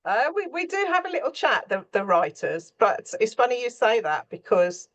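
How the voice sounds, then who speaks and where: A woman's voice: on the high side, sing-song, moderate pace. A woman speaking as an interview guest, explaining her writing work in an informal, discursive, self-interrupting way.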